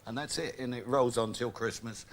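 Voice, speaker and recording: A man's voice: mid-range voice, moderate pace, poor audio. A man with an English accent, a rock musician guest chatting easily and telling self-mocking stories. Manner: easygoing, self-mocking anecdotes. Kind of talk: casual TV interview chat.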